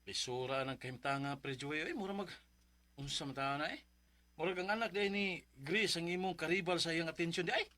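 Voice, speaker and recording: A man's voice: fairly high pitch, a little fast, pushed and bright. A man playing an easygoing, blunt buddy in a radio drama. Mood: teasing advice. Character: easygoing male buddy giving blunt advice. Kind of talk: Philippine radio drama acting.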